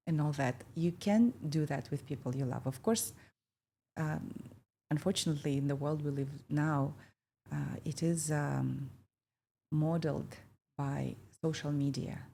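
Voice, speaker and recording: A woman in her fifties talks in a low, gravelly voice with a mellow, dark tone. She speaks thoughtfully and reflectively, pausing often and filling the gaps with hesitations. A faint hiss of noise sits behind her. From Kazakhstan. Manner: thoughtful, with many pauses and fillers. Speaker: podcast host. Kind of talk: reflective conversational chat.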